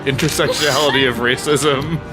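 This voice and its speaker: A man playing along in casual, improvised game banter, speaking haltingly. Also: medium pitch, fairly even intonation, loud music behind the voice. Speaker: comedy podcast player.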